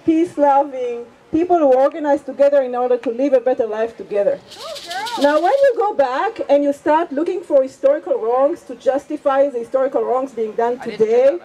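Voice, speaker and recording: Earnest woman with a high voice. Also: brisk, dark tone, slight background noise, rough recording. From Israel. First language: Hebrew. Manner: earnest, emphatic, repeats points for weight. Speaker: activist. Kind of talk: impassioned street debate speech.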